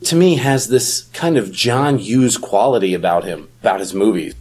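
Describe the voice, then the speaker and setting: A man with a fairly low, husky voice talks casually as a podcast co-host. He is conversational and tends to put questions to his partner. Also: normal speed, a little mellow. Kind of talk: casual chat.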